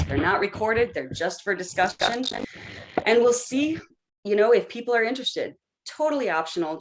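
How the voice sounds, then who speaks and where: Woman giving friendly video-call instructions. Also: on the low side. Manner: friendly, instructive, lightly joking. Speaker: conference organizer. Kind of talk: housekeeping announcements.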